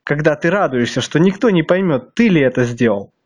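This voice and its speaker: A man's voice: on the high side, animated, fairly quick. A man with a slightly stuffy nose delivering a blunt, crude monologue to his viewers, hammering them with rhetorical questions. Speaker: video blogger.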